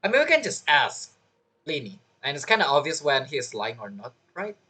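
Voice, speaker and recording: A woman's voice: low-pitched, very animated, moderate pace, pushed and bright, breathy edge. A woman doing casual game playthrough commentary, informal and musing aloud as she reacts to the story. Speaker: gamer reacting to a story quest.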